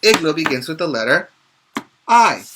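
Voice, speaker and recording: A man's voice: a little high, big pitch swings, normal speed, fairly bright tone, slight background noise. A male preschool teacher reading a picture book aloud and explaining letter sounds, asking the children questions as he goes. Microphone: home video recording for virtual class.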